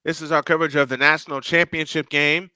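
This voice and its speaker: Guy doing play-by-play on a fan stream, bright punchy voice, dead dry sound. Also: on the high side, little pitch movement, fast talker, clear, resonant tone, dry recording. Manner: calls plays and reads viewer chat aloud. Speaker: live-stream sports commentator.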